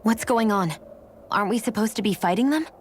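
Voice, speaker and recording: A woman's voice: medium pitch, brisk, a little hiss or hum, lightly acted. An actress playing a cool, impatient young warrior woman in a video game cutscene. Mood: urgent, impatient. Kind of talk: dramatic video game voice acting.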